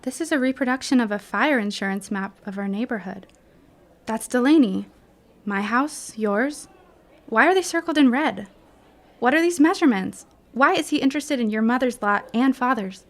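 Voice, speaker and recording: A woman's voice: fairly high pitch, lively intonation, normal speed. An actress playing an inquisitive teenage girl sleuth in a period audio drama, precise and a little mock-formal. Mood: puzzled.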